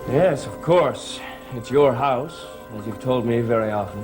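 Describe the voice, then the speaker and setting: An actor as a cool skeptic in an old gothic soap. He talks drily and pointedly at a middle pitch, with music clearly behind him. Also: unhurried, close to an everyday voice. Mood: dry. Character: skeptical, coolly pointed man in a gothic household. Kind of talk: 1960s gothic soap opera acting. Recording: old TV soundtrack with clear background score.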